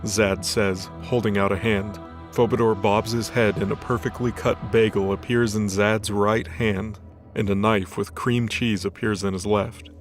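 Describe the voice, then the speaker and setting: A man's voice: lowish voice, level tone, unhurried. A man reading scripted fiction as the narrator of a story. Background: background music.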